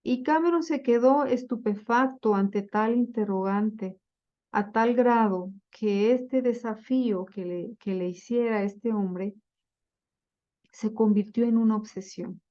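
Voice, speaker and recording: A woman giving a slide-based lecture on Bible translation, speaking deliberately with frequent fillers and self-corrections. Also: fairly high pitch, dark tone, dull audio.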